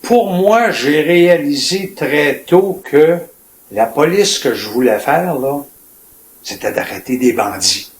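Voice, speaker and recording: An older man in his seventies from Quebec, with a Quebec French accent, telling his life story in a reflective, colloquial, measured way as a retired police officer. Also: a little high, lively intonation. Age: 70s. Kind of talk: personal storytelling in an interview. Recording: echoey room with faint noise.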